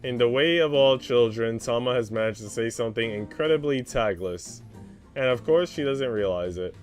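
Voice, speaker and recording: A man narrating a visual novel aloud as a streamer, moving between scripted prose and casual reaction. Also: medium pitch.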